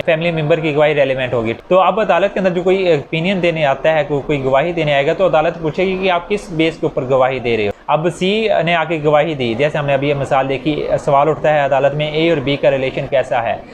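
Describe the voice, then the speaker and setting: A man with a Pakistani accent giving an explanatory law lecture with examples, mixing in English legal terms that he reads out slowly. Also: fairly high pitch. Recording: clear background noise.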